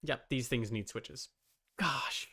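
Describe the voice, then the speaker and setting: A man's voice: medium pitch, slow pace, sharp tone. A man livestreaming a video game, casually commenting and grumbling to himself as he talks to his chat. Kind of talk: casual gaming stream commentary, talking to chat. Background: music.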